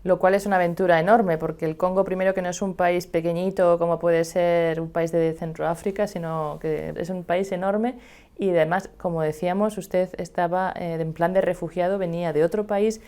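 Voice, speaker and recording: Interviewer with a warm, low voice. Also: female voice, steady tone, normal speed. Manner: polite, addresses guest formally. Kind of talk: formal interview questions.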